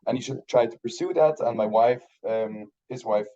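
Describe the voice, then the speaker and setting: Mellow-voiced Swiss man, rambling chat. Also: medium pitch, moderate pace, dull audio. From Switzerland. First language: Swiss German. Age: 30s. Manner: rambling, many restarts and fillers. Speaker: guest filmmaker. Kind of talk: casual interview chat.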